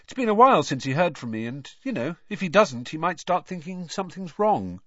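A man acts out a teenage boy wizard in a storybook. He is cheeky and pointedly casual, defying adults with cool ease. His voice sits higher, lively and swinging, in a dry close recording. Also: moderate pace, dry recording, lo-fi sound, heavily acted. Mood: feigned casual.

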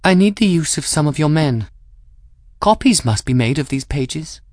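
A male narrator plays an imperious woman in an audiobook story. The voice is lifted in pitch and sharp-tongued, clipped and commanding, with intense impatience. The recording is dry and close. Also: expressive, normal speed, dry recording, close to an everyday voice. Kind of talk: audiobook character acting.

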